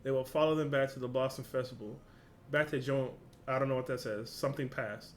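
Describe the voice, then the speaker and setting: A man's voice: mid-range voice, fairly even intonation, brisk, a little hiss or hum. A man with a casual, slangy, informal way of talking, hosting a reaction video and reading along.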